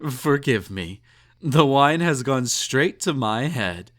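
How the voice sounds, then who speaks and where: A man acts out a haughty emperor in an intimate audio roleplay. He speaks at a leisurely, drawn-out pace in a mid-pitched male voice, savoring ornate phrases. His manner is condescending and possessive, teasing the listener like an indulged pet. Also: expressive. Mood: tipsy, teasing. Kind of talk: intimate roleplay audio drama, second-person monologue.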